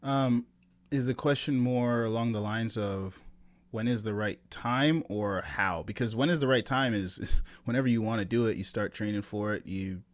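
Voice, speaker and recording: Guy on a video call, lowish voice, dry sound, rambly sales coaching w/ lots of ums. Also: normal speed, dry recording. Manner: loose, rambling, lots of ums and rhetorical "right?". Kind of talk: casual Q&A coaching on a group call.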